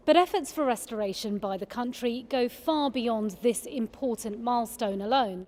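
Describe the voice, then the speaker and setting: A woman with a slightly high voice presents as an event host. Her delivery is scripted, formal and polished, and long hall-like reverb trails behind it. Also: a little mellow.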